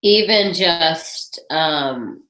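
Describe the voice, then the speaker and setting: Congested low-voiced woman hosting a webinar. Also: animated, drawn-out words, sharp tone, echoey room, low-quality recording. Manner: chatty, thinks aloud, reads out viewer questions. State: congested with a head cold. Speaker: webinar host. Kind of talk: casual Q&A on a webinar.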